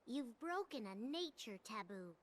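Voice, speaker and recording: A woman voicing a small, cute cat-like spirit guide in a video game, earnest, gentle and cautioning. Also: fairly high pitch, very animated, a little slow. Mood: grave warning. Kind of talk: video game cutscene voice acting.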